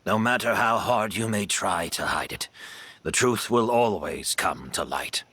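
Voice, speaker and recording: A man voicing a weakened, solemn older male merchant who speaks slowly and gravely. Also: on the low side, expressive, bright, forceful tone, a little hiss or hum, pitched below the performer's own voice.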